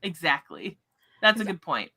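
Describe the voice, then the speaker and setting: Woman, lower-pitched, very bright voice, casual book chat host, animated delivery. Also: moderate pace, gravelly, a little hiss or hum. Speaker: livestream book discussion host.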